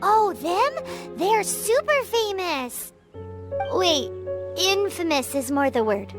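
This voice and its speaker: A tiny, excitable fairy sidekick, chirps in a perky, childlike game voice. It is extremely high and squeaky, bouncing widely in pitch, with music clearly behind it. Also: female voice, slow pace, heavily acted. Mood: curious, excited. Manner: exclamatory, perky, blunt. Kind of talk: English game dub voice acting. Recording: studio voice over prominent music.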